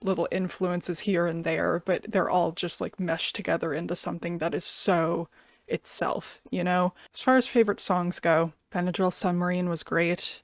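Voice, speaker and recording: A woman's voice: lowish voice, flat delivery, moderate pace, dry recording, studio quality. A woman giving casual, musing commentary as she reviews an album, sometimes searching for her words. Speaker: music reactor and reviewer. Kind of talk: casual album reaction commentary, some reading aloud.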